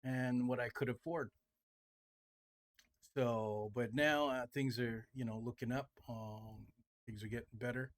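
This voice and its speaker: A man's voice: medium pitch, fairly even intonation, a little slow, pauses often. A fifty-year-old man talking casually about making music, rambling and restarting his sentences, with lots of fillers. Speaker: music producer vlogger. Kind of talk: casual home-studio vlog.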